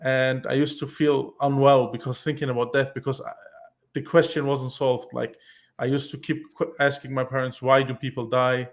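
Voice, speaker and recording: Guy, earnest, keeps restarting sentences, mid-pitched. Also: level tone. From Iran, raised in Germany. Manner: earnest, halting, with many restarts and fillers. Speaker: panelist on a call-in show. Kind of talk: casual explanatory chat.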